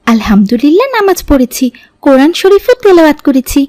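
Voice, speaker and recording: Woman voicing young daughter, polite and earnest, very high voice, dry sound, Islamic cartoon. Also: big pitch swings, brisk, warm tone, no echo. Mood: earnest, respectful.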